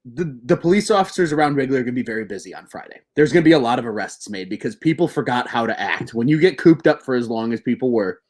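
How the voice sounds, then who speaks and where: A young man in his twenties co-hosts a sports podcast, his voice sitting at an ordinary middle pitch. He talks in an excitable, rambling stream and swears freely. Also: fast, hardly any pauses. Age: 20s. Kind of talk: casual sports chat.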